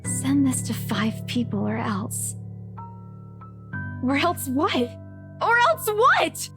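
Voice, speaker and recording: Man doing a shrieking falsetto frightened woman. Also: sing-song, full character voice. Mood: terrified. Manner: slow, drawn-out falsetto shrieks and gasps. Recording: loud game music behind.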